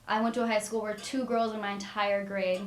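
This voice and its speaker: A woman's voice: medium pitch, flat delivery, fairly quick. Chatty young woman, roomy sound. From Miami, US. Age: 20s. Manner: chatty, lots of "like". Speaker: college student. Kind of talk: interview answers.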